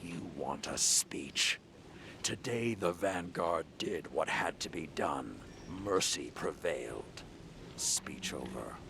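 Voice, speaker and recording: An actor playing a grizzled, stern, world-weary veteran warlord in a video game cutscene, with a gruff and weighty delivery. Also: male voice, expressive, slow pace, sharp tone, a little hiss or hum, full character voice. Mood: angry, firm. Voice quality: deep gravelly growl.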